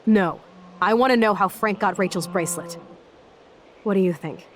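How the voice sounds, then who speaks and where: A woman's voice: medium pitch, moderate pace, close to an everyday voice. A young woman played by an actress as a brash video game punk, sarcastic and fiercely loyal. Her talk is blunt and slangy in a natural mid-range voice, over faint background noise. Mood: candid, wry. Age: young adult.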